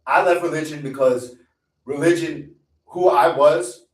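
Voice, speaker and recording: A man's voice: on the high side, unhurried, distant mic. A man in his twenties hosting a live stream in a casual, profane rant that repeats lines for emphasis. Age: 20s. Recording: echoey room, away from mic.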